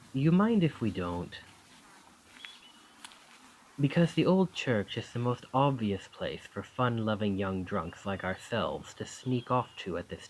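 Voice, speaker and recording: Man acting a slow, coaxing, possessive young charmer. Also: lowish voice, slight background noise, low-quality recording. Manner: coaxing, intimate, unhurried. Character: charming young male college student turning possessive, otherworldly captor. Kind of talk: ASMR roleplay acting.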